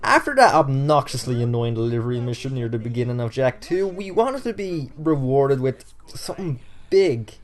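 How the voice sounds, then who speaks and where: A man presents a scripted top ten countdown in a mid-pitched voice. His phrasing is informal and enthusiastic, like a fan's commentary. Faint music plays beneath him. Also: sing-song, normal speed, few pauses. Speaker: video creator presenting a top ten list.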